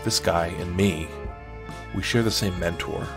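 A low-voiced, world-weary American hero, voiced by a man narrates his caper in slow, clipped lines. He is dry and sardonic, noir-like, over clear music. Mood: brooding. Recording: music behind the voice.

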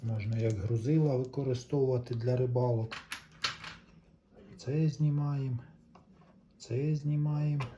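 A man's voice: medium pitch, dark tone, highs cut off, slight background noise. A man giving casual hands-on commentary, chatting to viewers and thinking aloud as he works. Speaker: host of a tape recorder restoration video.

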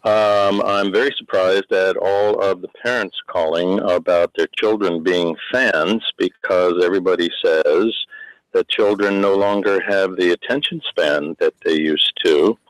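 A male caller speaks in a lowish voice over a dry line. He is hesitant and pauses often with ums. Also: fairly even intonation, no room sound, low-quality recording. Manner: halting, many ums. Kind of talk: phone-in question.

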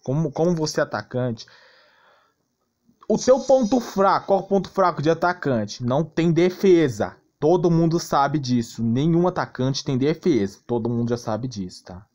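A man's voice: a little high, animated, normal speed, a little mellow. A Brazilian man giving a casual gameplay tutorial commentary in a blunt, exasperated way, often checking that the listener follows. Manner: blunt, exasperated, repeatedly tagging phrases with check-in questions. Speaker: gaming channel host. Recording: background noise, clicking sounds.

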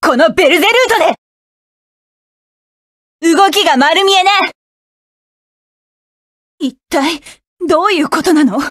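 A woman's voice: very high voice, lively intonation, unhurried, long pauses, fairly bright tone, no room sound, studio quality, heavily acted. An actress playing a fierce, vengeful young woman mecha pilot, barking clipped, commanding battle calls in a game. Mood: determined. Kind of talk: video game combat voice lines.

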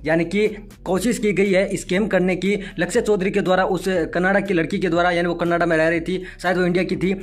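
A man's voice: sits high, level tone, brisk, hardly any pauses. A man giving commentary straight to his viewers as an online video host, repeating points for emphasis. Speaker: YouTube commentator.

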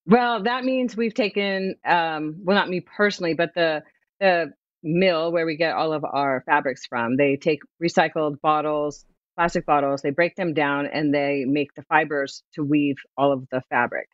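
A middle-aged woman, a swimwear brand founder, chatting lively and laughing easily as a guest over a remote video call. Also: deep voice, normal speed, no room sound. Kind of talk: promotional interview chat. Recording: dull, dry video call audio.

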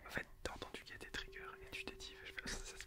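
A man in his twenties having a casual ASMR conversation in a breathy whisper, curious and agreeable, close to the microphone. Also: racing. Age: 20s. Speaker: host, YouTuber and streamer. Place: small indoor studio with sofa. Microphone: shared desktop microphone up close.

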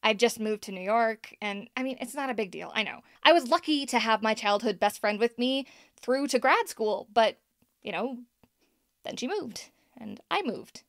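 Higher-pitched, self-doubting young woman. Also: lively intonation, moderate pace, a bit bright, dry recording, clean studio sound. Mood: wistful, vulnerable. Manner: conversational, hesitant, sighing. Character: Helena, young woman podcaster and scriptwriter, self-doubting, earnest. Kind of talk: naturalistic audio drama acting.

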